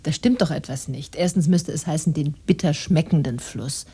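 An actress playing a sceptical, critical woman with a dry manner and husky voice in a German radio drama. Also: low voice, normal speed, mellow, exaggerated voice.

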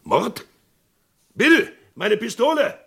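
Gravelly, wildly animated Western sheriff. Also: male voice, very high voice, exaggerated voice. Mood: surprised, blustering. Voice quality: gravelly, husky. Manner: theatrical, exclamatory, bantering then halting. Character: boisterous, jovial small-town Western sheriff. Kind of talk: German radio crime drama acting.

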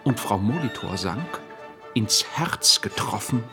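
A man's voice: fairly low pitch, animated, slow. A man narrating a radio drama in a formal, factual, scripted reading. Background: music.

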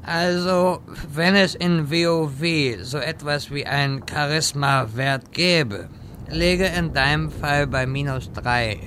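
A man's voice: on the high side, takes it slowly, a bit bright, a little hiss or hum. A man playing an irritable, sardonic wizard who lectures his companions in a German audio drama parody. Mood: scornful. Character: exasperated, sarcastic male wizard guiding bumbling adventurers.

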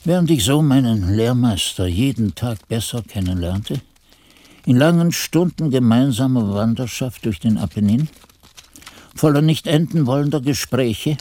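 Actor playing an aged monk chronicler, mellow and solemn. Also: male voice, mid-range voice, animated, lightly acted. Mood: solemn, reflective. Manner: measured, formal, reflective. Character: aged monk chronicler recalling his youth, reflective, solemn. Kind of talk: literary radio play narration.

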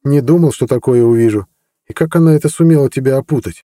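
A man's voice: mid-range voice, quick pace, mellow, dry recording, clean studio sound, voice pushed up, close to an everyday voice. A man reading an audiobook voicing a sly, learned prince who teases ironically and lectures. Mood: mocking.

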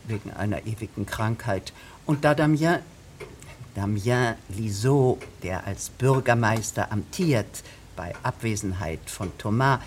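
Radio drama bureaucrat: officious woman, formal, rambling, digressive, over faint background noise. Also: very deep voice. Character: fussy, long-winded female village official, deferential bureaucrat.

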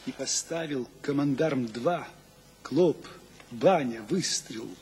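An actor playing a pondering storyteller in a radio drama, with deliberate, pointed phrasing. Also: male voice, medium pitch, a little mellow, a little hiss or hum, natural voice. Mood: wry, pointed.

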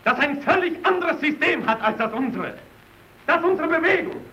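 A man's voice: very high-pitched, lively intonation, normal speed, sharp tone, lo-fi sound, full character voice. A male actor playing a fiery Soviet worker arguing at a party meeting in a vintage radio play, declaiming insistently. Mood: heated.

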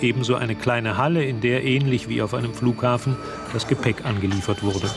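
A composed male storyteller voiced by an actor narrates a radio play in scripted, descriptive sentences. His pitch is average, and music runs clearly behind him. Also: fairly even intonation, fairly quick, close to an everyday voice.

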